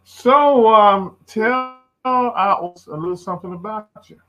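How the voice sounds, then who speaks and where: A man's voice: high voice, measured pace, bright, forceful tone. A man hosting a radio interview show, chatty and rambling with plenty of fillers. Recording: dull lo-fi sound.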